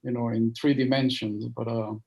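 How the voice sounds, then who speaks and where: A man with a strong Venezuelan Spanish accent talks over a video call. His voice is warm and dark, and he speaks haltingly, piling up fillers and restarts as he tells a story. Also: mid-range voice, little pitch movement, gravelly. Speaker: guest, NGO project leader. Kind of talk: livestream interview, storytelling.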